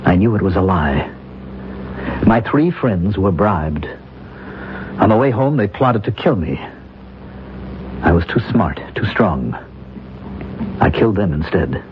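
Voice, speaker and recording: An actor in a 1970s radio drama playing a haunted, proud warrior, speaking gravely in short emphatic sentences. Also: male voice, sits low, sing-song, measured pace, pauses often, slight background noise. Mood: haunted, confessing. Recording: dull vintage radio recording, music behind.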